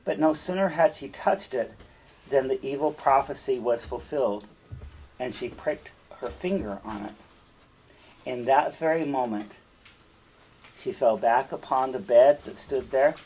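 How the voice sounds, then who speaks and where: A man with a husky voice, speaking as a teacher in an emphatic, deliberate storytelling style, checking in with listeners. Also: medium pitch, a little mellow, slight background noise, poor audio. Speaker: teacher reading and explaining a fairytale. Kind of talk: storytelling read-aloud with explanatory asides.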